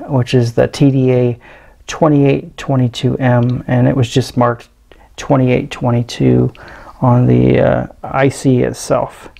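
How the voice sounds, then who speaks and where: A middle-aged man giving casual, unscripted hands-on commentary in a halting, hesitant way as he presents a teardown. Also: medium pitch, vocal fry, a little mellow. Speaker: electronics hobbyist presenter. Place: indoors at a tabletop.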